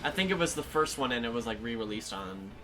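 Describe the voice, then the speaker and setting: A man's voice: medium pitch, expressive, fast talker. A man co-hosting a gaming show, bantering casually and playfully while he plays. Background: video game music.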